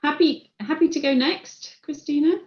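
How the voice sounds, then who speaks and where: An English woman introducing herself on a video call, brisk and friendly. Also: high voice, a little mellow. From England. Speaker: chief operating officer. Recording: echoey, dull video-call audio.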